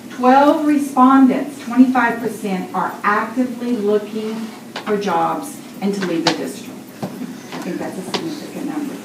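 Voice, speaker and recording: A woman in her sixties with a loud voice presents a survey report to a board in a formal tone, with many fillers. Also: on the high side. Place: boardroom meeting. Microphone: room mic from a distance. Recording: echoey room, distant, noisy.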